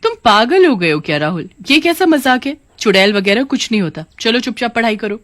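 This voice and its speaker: A woman voicing a stern schoolteacher who scolds a pupil briskly. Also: on the high side, big pitch swings, fairly bright tone, voice pushed up. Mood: scolding.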